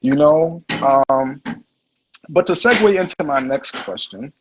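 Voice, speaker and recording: A man's voice: fairly high pitch, slow pace, highs cut off, background noise. A man hosting a livestream podcast, telling stories in a laid-back, slangy way full of "like" and "you know". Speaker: podcast host. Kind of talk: casual livestream interview storytelling.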